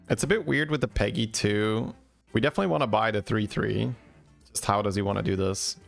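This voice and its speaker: Gravelly man, casual commentary. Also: fairly low pitch. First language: Dutch. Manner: relaxed, chatty, answers chat questions. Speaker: game commentator.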